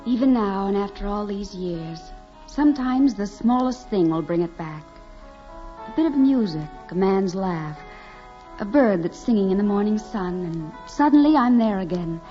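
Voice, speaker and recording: A woman's voice: mid-range voice, expressive, moderate pace, soft background music, close to an everyday voice. An actress playing a naive, eager young farm woman, earnest and wistful, in dramatic 1940s radio style. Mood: wistful remembering. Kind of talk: 1940s radio acting, dramatic first-person narration. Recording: muffled 1940s radio recording.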